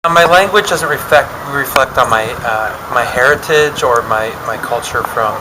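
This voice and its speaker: A man's voice: mid-range voice, moderate pace. A young American man answering interview questions hesitantly, with a lot of fillers. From United States. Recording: loud background noise.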